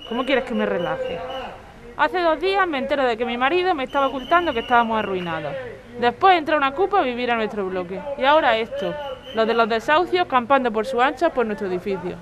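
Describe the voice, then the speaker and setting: A woman's voice: fairly high pitch, big pitch swings, fast, a crowd in the background. A woman playing an anxious, exasperated and fearful wife in a Spanish audio drama, speaking with a Spanish accent. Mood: fed up.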